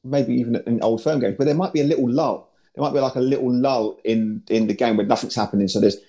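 A man at a middle pitch who speaks in a loose, rambling way. He leans on fillers and unfinished phrases while he recalls matches as a former player. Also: fairly even intonation, quick pace, warm tone. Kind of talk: casual chat.

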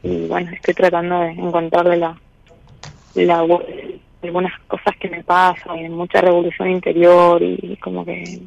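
Woman, thirties, Argentine accent, casual hesitant caller, fairly flat pitch, dry dull call audio. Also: moderate pace, gravelly, dry recording. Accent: Argentine Spanish. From Misiones, Argentina. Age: 30s. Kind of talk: casual personal chat.

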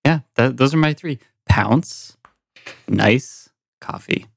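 A man's voice: medium pitch, takes it slowly, vocal fry. A man co-hosting a podcast in a casual group conversation. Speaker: podcast co-host.

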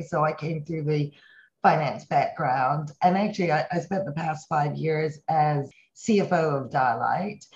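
A woman's voice: sits low, darkish tone. Fifties businesswoman from Hong Kong, interviewee, thoughtful and earnest; slightly dull recording. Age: 50s. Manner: earnest, reflective, speaks in long run-on thoughts. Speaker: company CEO, interview guest. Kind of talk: conversational interview answers.

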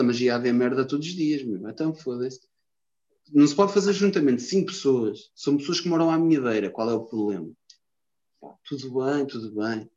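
Homem lisboeta calão, som abafado. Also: male voice, mid-range voice, darkish tone. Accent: Lisbon Portuguese. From Lisbon, Portugal. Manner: slangy, swears a lot, rambling. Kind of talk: casual chat. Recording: dull lo-fi sound.

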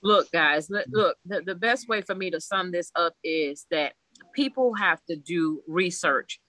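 A woman's voice: on the low side, expressive, unhurried, pushed and bright, no room sound. A woman from Haiti, a priestess, talking bluntly and animatedly in a casual conversation, laughing often and swearing freely. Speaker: Ifa priestess guest.

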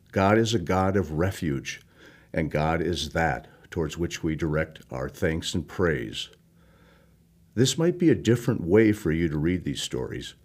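Very deep-voiced man reading devotionals. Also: a little mellow, husky. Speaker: devotional reader. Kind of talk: scripted scripture and devotional reading.

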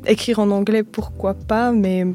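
Young woman, hesitant, soft-spoken, mellow, faint music behind. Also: mid-range voice, steady tone, measured pace. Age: young adult. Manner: hesitant, soft-spoken, many fillers and restarts. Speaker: singer-songwriter guest. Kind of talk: casual interview answers.

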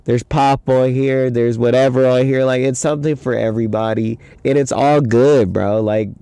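Gravelly young man with a stuffy nose. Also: medium pitch, fairly even intonation, moderate pace, dark tone, dry recording. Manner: loose, rambling, slangy. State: stuffy nose from a head cold. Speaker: podcast host. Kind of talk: casual solo podcast chat.

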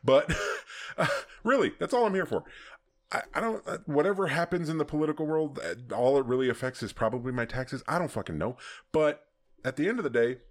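A man's voice: on the high side, fairly quick. A man hosting a podcast, telling stories in a casual, profane way and laughing often. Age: adult, hoping to see future grandkids. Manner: profane, animated storytelling with frequent laughs. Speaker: podcast host.

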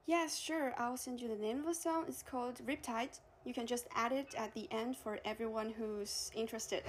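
A young woman from China with a Chinese accent whose first language is Chinese, answering interview questions in an earnest, hesitant way with many pauses and fillers. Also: a little high, fairly even intonation. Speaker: guest.

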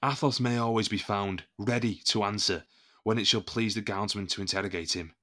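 A man's voice: fairly low pitch, steady tone, a little fast, pushed and bright. A man playing a proud, loyal captain of the musketeers in a period audio drama, speaking formally and with indignant emphasis. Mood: solemn, firm.

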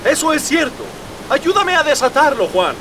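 A man's voice: extremely high pitch, very animated, moderate pace, distant mic, noisy background, heavily acted. A man playing an idealistic, exasperated rebel prince in a radio drama, speaking earnestly and emphatically. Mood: commanding.

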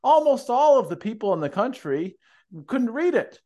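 A man's voice: very high voice, big pitch swings, normal speed, dark tone. A man co-hosting a casual discussion, with a big voice and a dry, wry humor. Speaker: podcast co-host.

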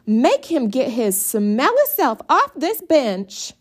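A woman voicing a whiny, shrill young sister who complains loudly. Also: lively intonation, drawn-out words. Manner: whining, high and loud.

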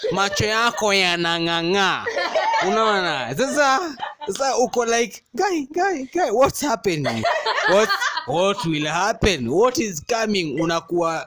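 A young man with a Kenyan accent telling an animated story in casual chat, mixing English with Swahili slang. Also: on the high side. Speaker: panel guest.

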